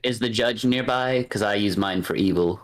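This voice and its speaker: A man's voice: lowish voice, normal speed. A man playing a tabletop game, improvising casual comedy in character with a dry, mock-serious manner.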